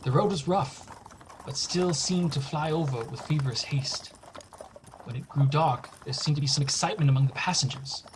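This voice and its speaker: A man's voice: a little high, moderate pace, noisy background, lightly acted. An actor playing an earnest, anxious young lawyer's clerk narrating his journal in a radio horror play. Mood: uneasy. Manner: earnest, dramatic, apprehensive.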